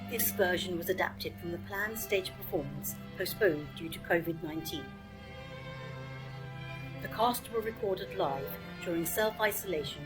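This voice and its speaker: A woman's voice: fairly low pitch, low-quality recording. A woman reading scripted credits as an announcer. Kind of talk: scripted narration. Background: violin music.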